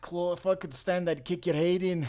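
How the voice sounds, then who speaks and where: An actor playing an exhausted but proud sailor, combative and sighing, in audio roleplay style. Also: male voice, high-pitched, fairly even intonation, a little fast, mellow, natural voice. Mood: scornful, angry. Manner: sighing, combative, archaic seafaring talk. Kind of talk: cinematic audio roleplay acting.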